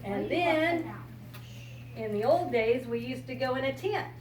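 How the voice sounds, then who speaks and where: An older American woman speaks to young children in a simple, explanatory way, as a visiting storyteller would. Her voice is on the high side. The recording is distant and echoey, with strong noise behind her. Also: expressive, measured pace. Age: 60s or older. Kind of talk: informal talk and picture-book reading to children. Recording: echoey room, distant mic, loud background noise.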